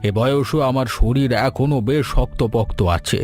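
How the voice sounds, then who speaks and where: A man playing a proud, caring old grandfather in an animated storybook cartoon, affectionate and full of advice. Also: mid-range voice, normal speed, natural voice. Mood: affectionate, reassuring. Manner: affectionate, advising. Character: caring, proud old grandfather who runs a paratha shop. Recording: music bed under the voice.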